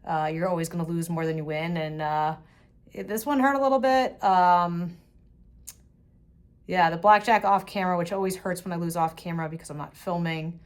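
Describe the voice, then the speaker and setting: A woman's voice: on the low side, moderate pace. A woman in her thirties, talking casually to her phone camera in a selfie vlog, her voice a little worn, with many fillers. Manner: relaxed, chatty, frequent filler words. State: slightly losing her voice. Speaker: vlogger. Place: hotel rooms and casino lobby, indoors. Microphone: phone camera at arm's length.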